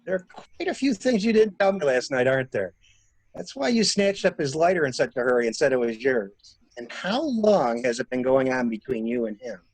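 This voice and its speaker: Man playing husky, sardonic amateur interrogator. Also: mid-range voice, sing-song, moderate pace, no echo, rough recording. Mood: probing, sardonic. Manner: probing, insistent, theatrical. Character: stranded male traveler turned sharp, sardonic amateur interrogator. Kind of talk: dramatic reading of a murder mystery.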